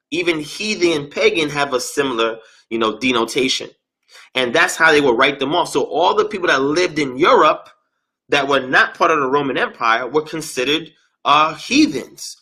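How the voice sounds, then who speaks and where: A man's voice: fairly high pitch, pushed and bright, breathy edge. A man guest in an interview, explaining at length in an earnest, lecture-like way and drawing out key words for emphasis. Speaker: interview guest, religious teacher. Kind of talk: explanatory lecture-style talk.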